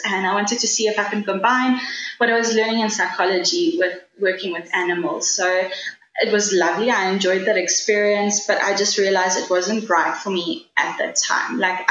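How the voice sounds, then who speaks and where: A South African woman, an Afrikaans speaker, talks through her answers with earnest enthusiasm, circling back and restarting as she thinks. Her voice sits at a middle pitch. The room echoes, with a faint hum of background noise. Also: a bit bright. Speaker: guest, travel writer. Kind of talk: casual interview answers.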